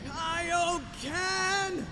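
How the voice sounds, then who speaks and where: A man's voice: very high voice, drawn-out words, exaggerated voice. A man voicing a naive, good-natured martial-arts hero in a cartoon, guileless and earnest. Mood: strained determination. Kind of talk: cartoon voice acting.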